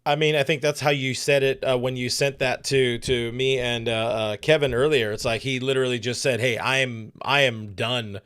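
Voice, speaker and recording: A man with a smooth, resonant voice co-hosts a casual sports podcast. He jokes around and chats loosely, dropping plenty of filler words between thoughts. His pitch sits right in the middle for a man. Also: fairly even intonation, normal speed, few pauses, a bit bright. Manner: joking, chatty, many filler words. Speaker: podcast co-host.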